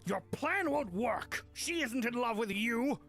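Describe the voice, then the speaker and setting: A man's voice: extremely high pitch, animated, measured pace, fairly bright tone, soft background music, full character voice. A man voicing a proud, lovelorn chimera beast held prisoner in a cartoon, speaking in a wounded, earnest, dramatic way. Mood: outraged. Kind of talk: animated fan drama acting.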